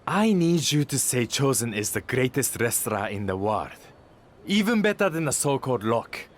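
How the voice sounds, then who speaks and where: A man's voice: mid-range voice, very animated, moderate pace, natural voice. A man playing an arrogant star wrestler in a video game cutscene, condescending, haughty and smug. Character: arrogant, condescending star wrestler who thinks himself above everyone.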